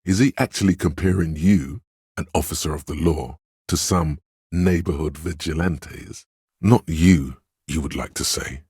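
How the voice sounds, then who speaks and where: An actor playing a stern, commanding inner voice of authority in a video game, speaking in a grave, imperious, theatrical way. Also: male voice, a bass voice, unhurried, darkish tone, slightly rough, no echo, clean studio sound, full character voice. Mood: measured, wary. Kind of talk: dramatic scripted game narration.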